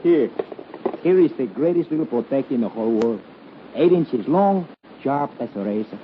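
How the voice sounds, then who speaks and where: Shady Mexican male dealer played by a male actor, sly halting English, very animated pitch, vintage muffled radio thriller sound. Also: close to an everyday voice. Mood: sly, amused. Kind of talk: 1950s radio suspense acting. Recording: muffled, noisy 1951 radio recording.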